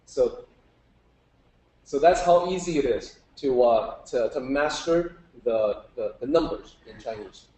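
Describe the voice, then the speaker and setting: A man's voice: mid-range voice, lively intonation, slow, warm tone. A man teaching informally to a group, explaining patiently step by step and putting questions to his listeners. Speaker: teacher giving a public talk. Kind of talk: informal lecture explaining Chinese characters. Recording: roomy, echoing live talk.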